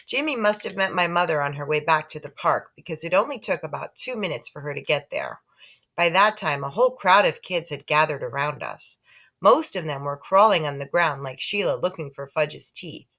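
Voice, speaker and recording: Animated woman reading a children's story. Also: deep voice, normal speed. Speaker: storybook reader. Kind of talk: expressive children's book narration.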